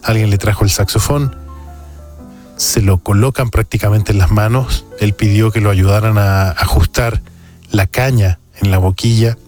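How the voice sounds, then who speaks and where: A man's voice: on the low side, steady tone, normal speed, darkish tone. A man, hosting a jazz radio show with casual, reflective, opinionated music commentary. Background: music playing behind the voice.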